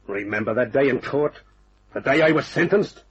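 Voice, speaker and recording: Vengeful ex-con, husky low voice, taunting, menacing, old radio crime drama, muffled narrow-band recording. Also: male voice, animated, normal speed, fairly bright tone. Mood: gloating threat. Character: vengeful male ex-convict gangster, bitter, menacing, gloating.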